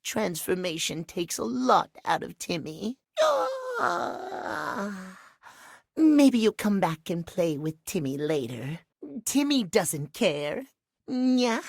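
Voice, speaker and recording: A man's voice: high-pitched, sing-song, slow, vocal fry, no echo, studio quality, full character voice. A man voicing a cutesy, childish, pouty catlike creature in a video game, talking in baby talk. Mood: playful, needy. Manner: cutesy baby talk, talks in third person, with cat noises. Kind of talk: video game character voice acting.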